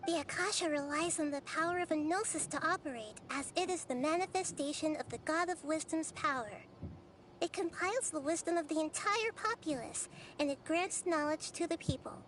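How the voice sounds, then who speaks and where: A woman voicing a small, gentle, wise girl deity in a video game, composed and articulate. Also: high voice, fairly quick, a little hiss or hum, poor audio. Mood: calm, instructive.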